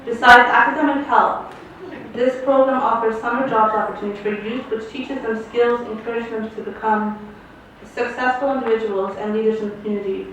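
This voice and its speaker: A woman's voice: a little high, steady tone, a little fast, hardly any pauses, a little hiss or hum. A woman presenting an awards ceremony, reading a formal introduction of the honoree aloud. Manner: formal reading, loud announcing. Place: large conference hall. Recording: distant, echoey, dull hall sound.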